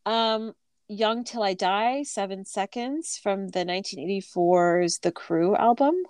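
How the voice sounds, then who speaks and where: A woman's voice: mid-range voice, a little slow, a little mellow, clean tone, dry recording. A woman co-hosting a podcast, rambling in an easy, chatty way full of fillers and laughs. Her voice is a little stuffy from a recent illness. The sound is close and dry. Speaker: podcast co-host. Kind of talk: casual chat.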